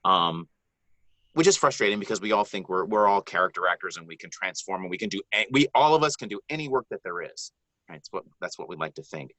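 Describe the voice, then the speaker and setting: A man's voice: low-pitched, animated, quick pace, a bit bright, dry recording, poor audio. A man from the Bahamas, a guest narrator, giving earnest, rambling advice in a live video Q&A, with many ums and restarts.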